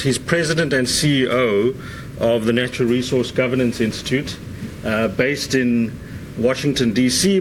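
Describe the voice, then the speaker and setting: A man's voice: mid-range voice, background noise. A man hosting a university seminar, introducing the guest in a conversational way with many fillers. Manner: conversational, frequent uh fillers and "right?" tags. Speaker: seminar host.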